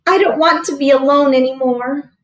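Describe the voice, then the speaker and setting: Woman voicing a sad, high-pitched little boy duckling. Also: moderate pace, close to an everyday voice. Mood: longing. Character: sad young male gray duckling, lonely and unsure.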